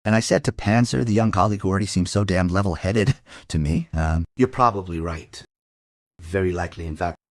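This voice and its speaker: A man playing a world-weary police detective, narrating a crime audio drama in a measured, musing way. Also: low-pitched, no echo, clean studio sound. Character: world-weary male police detective telling his case.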